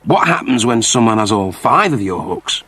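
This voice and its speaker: A man's voice: on the low side, very animated, moderate pace, sharp tone, husky, no room sound, heavily acted. A man voicing a laid-back, stubborn male prisoner in a sci-fi story, talking bluntly and casually with cheek. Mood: curious.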